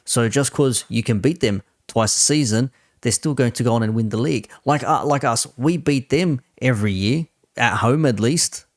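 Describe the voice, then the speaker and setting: A man's voice: medium pitch, normal speed, dry recording. A man giving casual, opinionated commentary as a host, blunt and sweary in a conversational way. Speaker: host reacting to a football debate stream.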